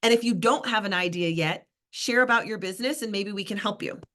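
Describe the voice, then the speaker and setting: An upbeat woman coaching a sales class over a video call. She talks at a middle pitch, cheers people on and calls on participants by name. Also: fairly quick, a bit bright. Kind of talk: live online coaching class.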